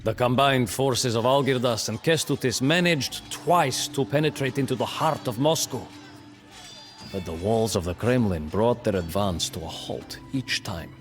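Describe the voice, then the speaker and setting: A man's voice: mid-range voice, lightly acted. An actor playing a proud, bitter medieval Lithuanian prince who narrates his story theatrically in a video game cutscene. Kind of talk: dramatic scripted historical narration in character.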